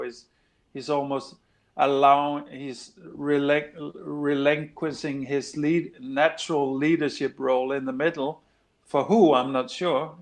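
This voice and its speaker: Male fan pundit, slightly high gravelly voice, halting delivery with many fillers, video-call audio. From Denmark. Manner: hesitant, lots of fillers and restarts. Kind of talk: casual chat on a video call.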